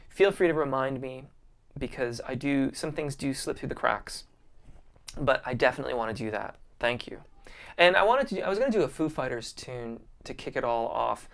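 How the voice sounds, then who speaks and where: Smooth-voiced man hosting a live Q&A. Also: mid-range voice, fairly bright tone. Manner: friendly and appreciative, many ums and restarts. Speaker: vocal coach hosting a live Q&A. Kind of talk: casual live-stream Q&A, reading viewer comments.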